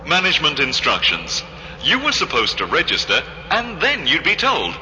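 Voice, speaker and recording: A man's voice: on the high side, wildly swooping pitch, normal speed, pushed and bright, full character voice. An actor playing a blunt male hotel functionary who explains management rules, in a science-fiction radio drama. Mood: blunt, unapologetic. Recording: long echo, faintly hissy radio recording.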